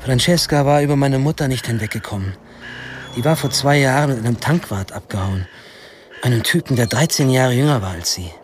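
A man's voice: medium pitch, fairly quick, a little hiss or hum, close to an everyday voice. An actor playing a defiant sixteen-year-old schoolboy who tells his story in a dry, sarcastic, crude way in a radio drama. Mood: dry narrating. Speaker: lead actor and narrator. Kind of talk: German radio drama, first-person narration and dialogue.

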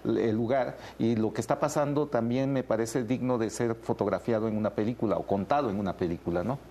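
Mexican guy, film director being interviewed, medium pitch, casually telling stories, slight background noise. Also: fairly quick, mellow. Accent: Mexican Spanish. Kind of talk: casual interview, explanatory storytelling.

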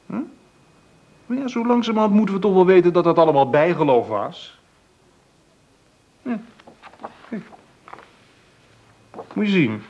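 An actor playing a provocative, smug young atheist in a Dutch radio drama, teasing and condescending. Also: male voice, high-pitched, animated, unhurried, pauses often, a little mellow, muffled recording, heavily acted. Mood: scornful.

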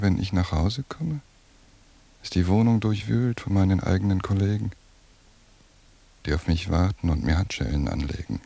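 Gravelly, weary male surveillance cop. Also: deep voice, mellow, heavily acted. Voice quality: gravelly, husky. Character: weary, suspicious male police surveillance officer, brooding in thought. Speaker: radio drama actor. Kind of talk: 1990s radio drama inner monologue.

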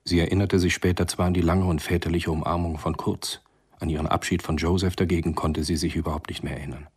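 A man narrating a literary radio play in a measured, sombre manner. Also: deep voice, level tone, slightly rough.

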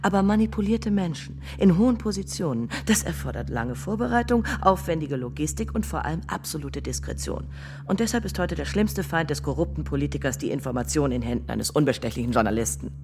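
A scheming conspirator in a radio play, quick and lively in pitch as he lays out his cynical plans, with a faint hum behind him. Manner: cold, calculating, sneering. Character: cynical male conspirator planning corruption.

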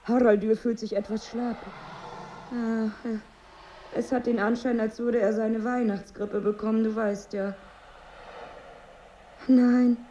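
A woman's voice: a little high, darkish tone, highs cut off, a little hiss or hum, lightly acted. An actress playing a melancholy, bitter married woman in a radio drama, with a brooding, wry, weary manner and diction. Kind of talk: radio drama monologue and dialogue.

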